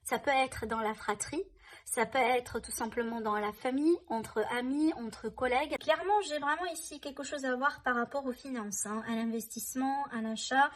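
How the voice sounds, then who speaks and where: A woman's voice: high-pitched, moderate pace, slight background noise. A woman doing a tarot reading for her viewers, speaking in a reassuring way, often checking in with "hein" and "d'accord". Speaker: tarot reader.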